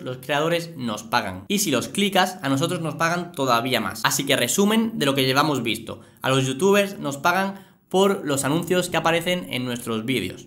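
Young spanish guy from a youtube vid, accent from spain, medium pitch, explaining stuff to camera, kinda emphatic. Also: fairly bright tone. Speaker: YouTuber presenting a finance channel. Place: small home studio room, indoors.

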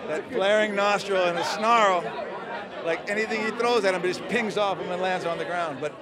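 A middle-aged actor speaking reflectively in an outdoor red carpet interview into a handheld mic. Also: male voice, high voice, normal speed. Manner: thoughtful, reflective, rambling. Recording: loud crowd noise behind.

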